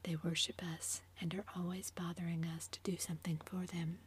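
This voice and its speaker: A woman whispering the lines of a languid, witty aristocratic gentleman in a storybook reading, in a low, flat hush. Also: moderate pace, slight background noise, natural voice. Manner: whispered, epigrammatic, drawling.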